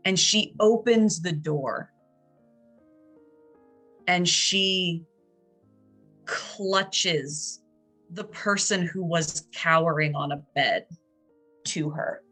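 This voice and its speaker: A woman improvising narration and chatting casually in a tabletop horror game, building descriptions haltingly, phrase by phrase. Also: fairly low pitch, a bit bright. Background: faint music.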